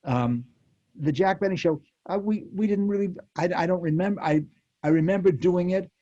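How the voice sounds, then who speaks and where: A man's voice: high voice, normal speed, warm tone. An older man reminiscing as a panel guest, hesitant and often restarting his sentences. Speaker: panel guest, former radio actor. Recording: dull, muffled lo-fi audio.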